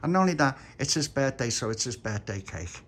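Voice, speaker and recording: A man's voice: mid-range voice, sing-song, a little fast, fairly bright tone, slight background noise, pitched above the performer's own voice. A man voicing a bossy but kind-hearted young boy, who leads the group.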